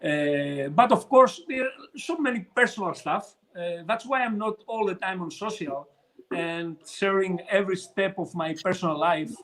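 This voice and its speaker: A man from Greece with a Greek accent and Greek as his first language, a craft teacher speaking as the guest in a casual chat, hesitant with many pauses and restarts. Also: high voice, a bit bright.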